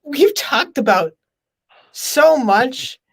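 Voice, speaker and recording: A man from Minnesota hosting a casual live stream, talking loudly and laughing often. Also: very high voice, expressive, extremely slow, vocal fry, husky, rough recording. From Minnesota, USA. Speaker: podcast host.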